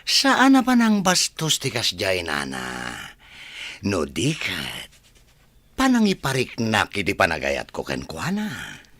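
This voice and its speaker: A man's voice: low-pitched, wildly swooping pitch, takes it slowly, fairly bright tone, no echo, full character voice. Harsh, volatile villainous young man. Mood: taunting. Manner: taunting, menacing. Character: arrogant, lecherous, hot-tempered rich young man. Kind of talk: radio drama acting.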